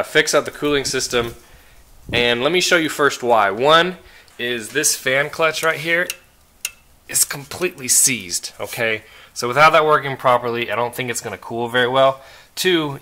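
Bright-voiced man explaining car repair. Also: mid-range voice. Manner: relaxed, explains step by step, asks viewers questions. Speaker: car restoration vlogger. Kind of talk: casual how-to narration. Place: outdoor driveway by a car engine.

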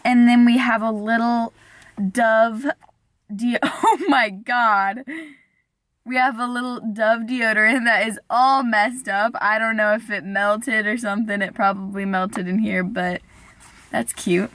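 A woman's voice: on the high side, fairly even intonation, measured pace, fairly bright tone, no echo. A young woman vlogging casually from inside a parked car, chatty and giggly, saying "like" a lot. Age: young adult. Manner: chatty, giggly, says "like" often. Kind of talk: casual vlog chat. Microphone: camera on the dashboard.

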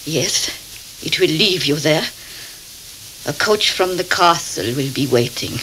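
An actress playing a solicitous, foreboding woman innkeeper with an Eastern European accent in a radio drama, halting and deferential. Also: deep voice, expressive, fairly bright tone, exaggerated voice, rough recording. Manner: halting, tag questions, deferential. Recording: hissy 1979 cassette tape.

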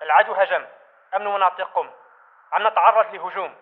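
Tinny radio voice, militant. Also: male voice, high-pitched, fast talker. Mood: urgent warning. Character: urgent male militant radio operator. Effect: radio filter. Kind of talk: urgent combat radio report. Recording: tinny narrow-band radio.

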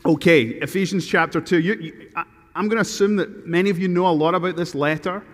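A man with a thick Glaswegian Scottish accent delivers a sermon from a church pulpit, his voice sitting a little high for a man. He reads scripture earnestly, leaning into slow, weighty stresses. The podium mic catches the long reverb of a large sanctuary. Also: fairly bright tone. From Scotland. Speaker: guest preacher. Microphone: podium microphone.

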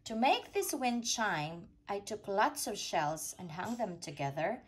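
Husky woman reading slowly, very lively pitch. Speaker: storytime reader. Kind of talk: read-aloud of a rhyming children's picture book.

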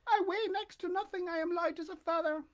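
A woman voicing a childlike wooden puppet boy in a storybook, with a plaintive, excitable, melodramatic manner. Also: very high voice, a little fast, voice pushed up. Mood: curious, eager. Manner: plaintive, melodramatic, breathy exclamations.

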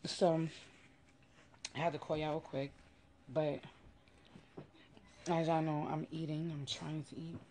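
A woman's voice: low-pitched, little pitch movement, slow, long pauses, a little mellow, a little hiss or hum. Chatty woman vlogging from her car. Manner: playful, talkative, sing-song asides. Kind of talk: casual chat to camera. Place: living room at home, then parked car. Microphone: phone camera held close.

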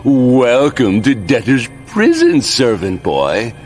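An actor playing a scheming middle-aged royal advisor in a campy video game cutscene, mock-polite and gloating with a theatrical drawl. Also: male voice, mid-range voice, wildly swooping pitch, takes it slowly, darkish tone, faint music behind, exaggerated voice.

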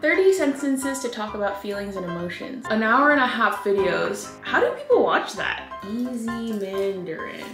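A young woman in her twenties chats her way through a casual home vlog, pitched a touch high. She is self-deprecating and relaxed. Music plays softly beneath her voice. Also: moderate pace. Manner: chatty and self-deprecating, laughs at her own slips. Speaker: vlogger. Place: small home room with plants. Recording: music bed under the voice.

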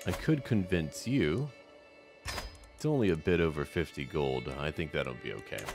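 Deep-voiced man narrating a game, lively intonation. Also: moderate pace, faint music behind. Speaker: gamer narrating a strategy game. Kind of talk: roleplay game commentary.

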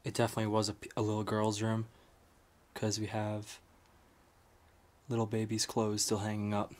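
A man's voice: lowish voice, completely flat delivery, normal speed. An American man giving casual, unscripted vlog commentary, musing aloud as he explores indoors. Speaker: urban explorer host. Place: indoors, rooms of an old house.

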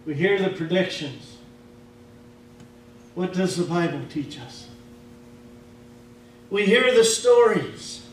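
A Midwestern man preaches slowly and emphatically, his voice sitting high for a man. He is heard from a distance in a very echoey church. A faint hum runs underneath. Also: expressive. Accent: Midwestern American. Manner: slow, emphatic preaching with casual grammar. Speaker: pastor. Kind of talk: sermon. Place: church sanctuary.

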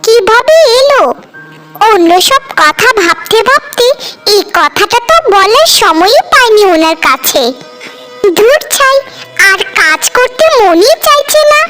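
A woman's voice: very high voice, lively intonation, unhurried, full character voice. A woman playing a discontented, envious village housewife in a cartoon, scolding and complaining with sarcasm. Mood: envious grumbling. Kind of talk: cartoon voice acting in dramatic dialogue. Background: loud background music.

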